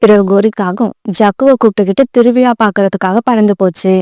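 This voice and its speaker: Mellow female storyteller, dry and close. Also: medium pitch, steady tone, fast, dry recording. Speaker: narrator. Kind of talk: scripted children's story narration.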